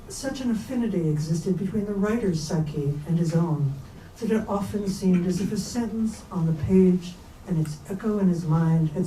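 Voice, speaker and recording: A woman reading literary prose aloud at a staged reading, in a measured, composed storytelling delivery. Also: lowish voice, warm tone. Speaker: reader at a staged book reading. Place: bookstore event space. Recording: echoey room, distant mic, faint noise.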